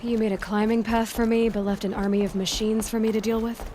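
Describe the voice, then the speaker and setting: A woman's voice: fairly high pitch, level tone, a little fast, warm tone, a little hiss or hum, close to an everyday voice. A woman playing a guarded, blunt young huntress in a video game, with a dry, direct manner. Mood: sardonic. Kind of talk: video game dialogue acting.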